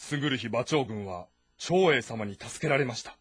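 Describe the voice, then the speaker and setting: A man playing a respectful, frank young officer of ancient China with a husky voice, formal and direct. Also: medium pitch, animated, moderate pace, no room sound. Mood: earnest. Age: young adult. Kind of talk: dramatic game voice acting.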